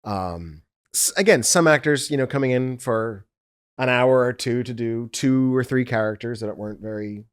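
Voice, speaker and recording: A man's voice: medium pitch, moderate pace, smooth. A man, an audiobook producer, talking casually and explaining his work step by step.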